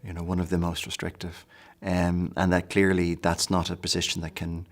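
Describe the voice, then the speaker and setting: An Irish man speaking in a measured documentary interview, pausing with fillers and restarts. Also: low-pitched, monotone.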